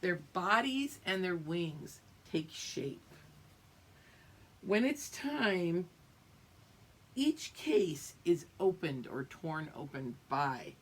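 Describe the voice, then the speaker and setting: A woman's voice: deep voice, husky. A woman teacher reading a picture book aloud to young pupils, drawing out the story and explaining it as she goes. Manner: expressive, drawn-out reading with teacherly explanations and check-ins.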